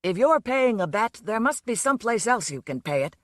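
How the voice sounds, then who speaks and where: A woman's voice: on the high side, very animated, fairly quick, no echo, studio quality, voice pushed up. A woman voicing an irritated middle-aged man who was woken at night, speaking in a curt, stiff way.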